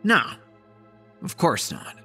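An actor playing a bitter, middle-aged grieving father in an audio drama, speechifying accusingly at a town with drawn-out emphasis. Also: sharp tone, soft background music. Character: bitter grieving father rousing a town against his enemy.

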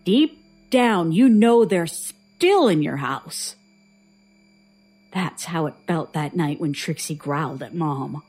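Haunted woman, slow halting delivery, hushed suspense, horror radio play, music behind. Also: low-pitched, lively intonation, breathy edge, exaggerated voice. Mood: haunted, tense. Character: haunted woman recounting frightening childhood memories to a doctor. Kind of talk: audio drama acting.

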